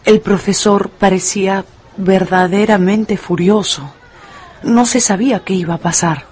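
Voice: medium pitch, fairly even intonation, measured pace, darkish tone, natural voice. A narrator reading a scripted, dramatized story for a radio drama.

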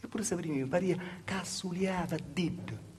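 A man's voice: high-pitched, fairly quick, darkish tone, lightly acted. Man playing a Calabrian peasant mother, noisy recording. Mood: dazed, anguished. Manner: rambling, repetitive storytelling with insistent asides. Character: grieving, devout Calabrian peasant mother, simple and confiding. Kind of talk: theatrical dialect monologue for radio.

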